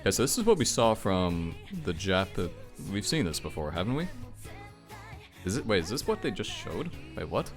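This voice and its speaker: Low-voiced, animated man reacting to games. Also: moderate pace, over music. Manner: rambling, self-questioning, frank with some swearing. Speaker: video game commentator. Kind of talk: casual off-the-cuff reaction commentary.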